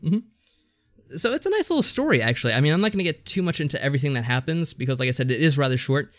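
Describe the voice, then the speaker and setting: A man's voice: on the high side, big pitch swings, rapid-fire. A man co-hosting a podcast, giving a casual review in a rambling, slightly hesitant explanatory way. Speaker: podcast co-host.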